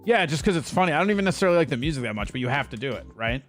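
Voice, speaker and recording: A man's voice: a little high, sing-song, rapid-fire, faint music behind. A man hosting a late-night stream, teasing his guest in sarcastic, joking banter with an American accent. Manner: teasing, sarcastic, lots of sighs and groans. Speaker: stream host. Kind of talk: casual comedic banter.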